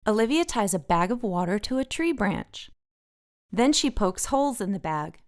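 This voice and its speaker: A woman's voice: mid-range voice, sing-song, moderate pace. A woman narrating a picture book aloud for children as the host of a read-aloud.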